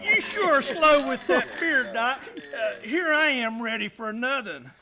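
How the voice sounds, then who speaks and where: Guy doing a very high voiced folksy cowboy drinker, radio western, clinking barroom crowd behind. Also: lively intonation, unhurried, exaggerated voice. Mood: jovial. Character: easygoing thirsty Old West drinking companion, male. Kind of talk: old-time radio western acting.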